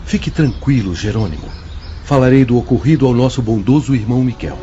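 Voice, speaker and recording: A man playing a kindly, mellow-voiced religious brother. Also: mid-range voice, soft background music, natural voice. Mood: encouraging. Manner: soothing, measured, exhorting. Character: kindly male religious brother, patient encouraging counselor. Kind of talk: radio drama acting. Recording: muffled lo-fi audio with faint noise.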